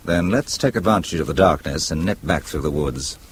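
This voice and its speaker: An actor playing a resourceful, wry British husband turned amateur sleuth in a radio drama, speaking in a dry, clipped way. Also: male voice, rumbling low, animated, fairly quick, slight background noise. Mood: tense, urgent.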